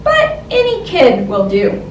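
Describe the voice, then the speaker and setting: A woman voicing an excitable, fussy king in a children's storybook, with theatrical exclamations. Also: high-pitched, takes it slowly, echoey room, far from the mic, a little hiss or hum, voice pushed up, heavily acted. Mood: eager hope.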